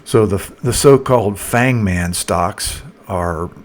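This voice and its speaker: A man presenting a casual, unscripted chart commentary as a market analyst, thinking aloud in a halting way. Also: on the low side. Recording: noticeable background noise.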